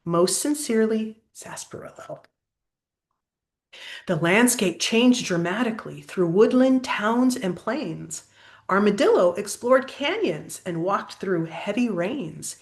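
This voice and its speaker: An older woman reading a picture book aloud as a grandmother, pausing to prompt a child with gentle questions. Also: mid-range voice. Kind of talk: storybook read-aloud with asides to a child.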